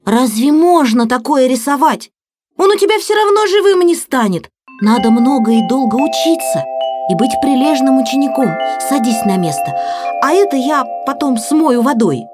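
A woman's voice: high voice, sing-song, moderate pace, loud music behind the voice. A woman voicing a male artist who teaches magic, firm, kindly and instructive, in a children's audio play. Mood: scolding, practical.

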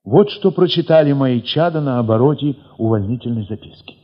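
A middle-aged man reading a first-person story as expressive, reflective dramatic narration, with weighty pauses and a husky voice. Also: mid-range voice. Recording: muffled, long reverberant hall sound.

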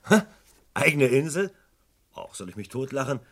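An actor playing a gruff, impatient middle-aged chief inspector with a husky voice in a crime radio drama. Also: male voice, mid-range voice, very animated, moderate pace, a bit bright. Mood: grumbling, dry. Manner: grumbling, brusque, impatient. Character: gruff, irritable male police chief inspector, married.

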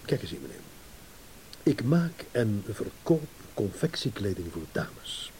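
A man's voice: fairly low pitch, very animated, unhurried, mellow, rough recording. An actor in a radio play playing a self-assured businessman, courteous and indulgent in a patronising way, yet guarded. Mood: guarded, businesslike. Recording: hissy old radio play recording.

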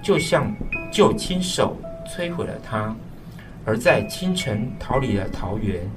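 A man's voice: mid-range voice, sing-song, far from the mic, low-quality recording. A man playing a sorrowful eldest son, narrating a radio drama reflectively in Taiwanese-accented speech. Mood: wistful, sorrowful. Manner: reflective, melancholy, measured. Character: sorrowful eldest son recalling his broken family. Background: clear background music.

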